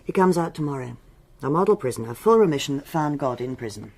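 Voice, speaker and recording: An actress playing a stern, no-nonsense police superintendent in a radio drama, clipped and authoritative. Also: female voice, low-pitched, lively intonation, fast, a little mellow. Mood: brisk, official. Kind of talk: BBC radio drama acting.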